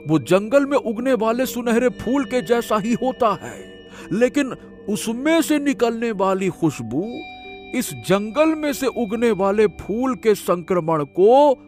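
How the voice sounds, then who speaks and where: A man acts a solemn village elder in a cartoon moral tale. His delivery is slow and very animated, with a high pitch for a man, over faint music. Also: full character voice. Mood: grave. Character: grave village elders, worried father and solemn healer. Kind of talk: dramatic cartoon story dialogue.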